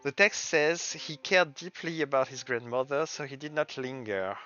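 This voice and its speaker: A man's voice: mid-range voice, moderate pace, sharp tone, lo-fi sound, faint music behind. A man giving casual, hesitant gameplay commentary, thinking aloud and sometimes reading text out. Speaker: game streamer and the game's creator.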